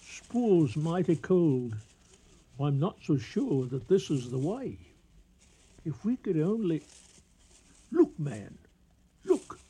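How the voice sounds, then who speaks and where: A rattled British colonial police inspector in a radio play. His voice sits fairly high and swings widely, with a gravelly creak, as he blusters haltingly through his fear. There is light noise behind him. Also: sing-song, mellow, exaggerated voice. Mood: grim, shaken. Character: frightened, unraveling male colonial police inspector in Africa. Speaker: actor in a radio drama. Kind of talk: 1980 radio drama acting.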